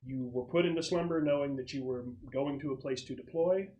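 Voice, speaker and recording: A man acting as game master, explaining the setup casually in a halting, thoughtful way. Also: a little high, dark tone, slight background noise, low-quality recording. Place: casual online or home gaming session.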